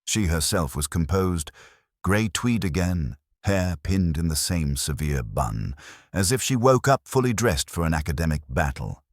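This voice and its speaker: A man's voice: deep voice, big pitch swings, a little slow, no room sound, studio quality. A man narrating a scripted first-person detective story in a wry, hard-boiled manner. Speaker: audiobook narrator.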